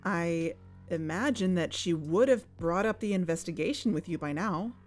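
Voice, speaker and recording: Actress as anxious, cautious investigator, lowish female voice. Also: normal speed, soft background music, lightly acted. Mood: wary. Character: anxious, cautious woman investigator, conflicted and wary. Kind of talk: naturalistic audio drama dialogue.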